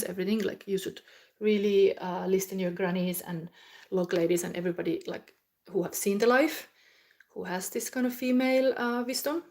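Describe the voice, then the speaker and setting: The Finnish woman you would find in an online tarot video, thinking aloud card by card, groping for words in halting second-language English. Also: medium pitch, a little mellow. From Finland. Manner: rambling, searches for words, halting non-native English. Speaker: tarot reader hosting a psychic reading. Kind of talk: casual spontaneous tarot reading to viewers.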